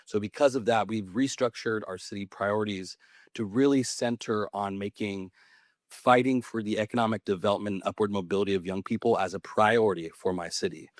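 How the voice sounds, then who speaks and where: Low-voiced guy in his 30s, california mayor on a panel, earnest and passionate, dry close sound. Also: no room sound. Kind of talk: panel talk to an audience. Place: conference panel.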